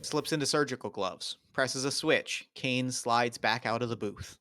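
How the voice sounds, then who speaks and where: A man's voice: medium pitch, measured pace, pushed and bright. A man doing scripted narration, reading out the stage directions of a screenplay at a table read.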